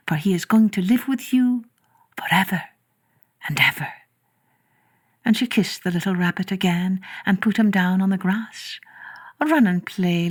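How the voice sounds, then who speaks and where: A woman storyteller reading a children's tale expressively, with gentle, drawn-out phrasing and touches of breathy whisper. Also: mid-range voice.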